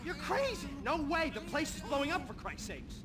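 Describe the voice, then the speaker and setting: A man's voice: very high voice, sing-song, moderate pace. A man playing a frantic, panicky captive in a video game. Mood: panicked. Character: panicky high-voiced male captive in a doomed complex. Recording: music behind the voice.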